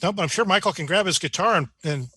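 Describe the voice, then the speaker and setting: A man's voice: high voice, fast talker, sharp tone, slightly rough, dry recording. A man chatting casually as a club member at an online meeting, speaking into a video call microphone. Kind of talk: casual chat.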